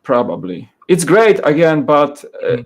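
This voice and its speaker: A man's voice: on the high side, very animated, measured pace. A man with a Polish accent chatting casually as a guest, hesitant and asking lots of questions. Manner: hesitant, repeats words, asks questions. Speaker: guest co-host with own channel. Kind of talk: casual chat while building.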